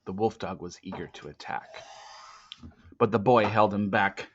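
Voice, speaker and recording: A man reading a storybook aloud as narrator, with dramatic, suspenseful swells and hushed drops. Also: lowish voice, fairly even intonation, moderate pace, slight background noise. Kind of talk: expressive storybook read-aloud. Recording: dull lo-fi, roomy echo.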